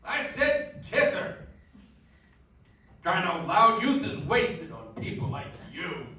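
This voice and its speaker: A cranky, sharp-voiced meddling old man. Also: high-pitched, very animated, slow, full character voice. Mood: exasperated, mocking. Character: cranky, meddling old neighbour man scolding wasted youth. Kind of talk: live radio play acting. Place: live stage in a dance hall. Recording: very echoey hall, distant mic, faint noise.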